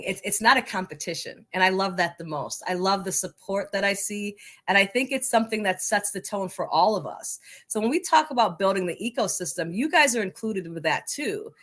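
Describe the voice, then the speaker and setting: A woman's voice: medium pitch, fairly quick, bright, forceful tone. An enthusiastic, talkative woman speaking emphatically as a guest in a conversational interview. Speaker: podcast guest, soccer coaching advocate.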